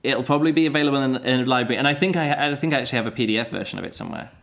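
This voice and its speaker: A man's voice: rapid-fire, gravelly. A man hosting a podcast, chatting casually about books and doing playful impressions. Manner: animated, mimics voices in high pitch. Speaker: podcast host. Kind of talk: casual book-review chat.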